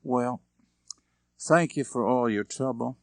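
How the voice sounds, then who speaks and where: A man's voice: medium pitch, takes it slowly, creaky, darkish tone, dry recording, clean studio sound, voice pushed up. A man voicing a polite but doubtful young woman in an audiobook, earnest and questioning. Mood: doubtful, resigned.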